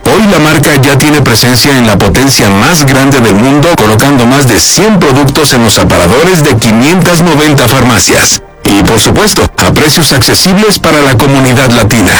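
A man's voice: mid-range voice, expressive, moderate pace, fairly bright tone, faint music behind, poor audio. Polished male documentary narrator. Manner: polished, upbeat promotional delivery.

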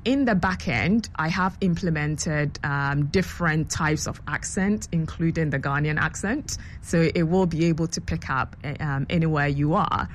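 Low-voiced woman explaining her app. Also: normal speed, hardly any pauses, slight background noise. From London. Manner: chatty, explanatory, many ums and you-knows. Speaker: app founder, guest. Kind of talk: radio interview answers.

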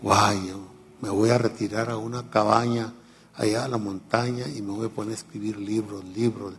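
A man's voice: lowish voice, steady tone, moderate pace, vocal fry, a little hiss or hum. A man in his seventies preaching a radio sermon, reflective and storytelling, chuckling at himself. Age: 70s.

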